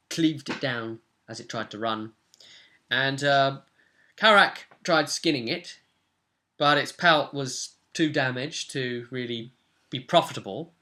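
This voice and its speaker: A man's voice: mid-range voice, pushed and bright. A young man, the host and game master, casually recapping a tabletop role-playing session from a room at home. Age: young adult. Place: small room at home, indoors.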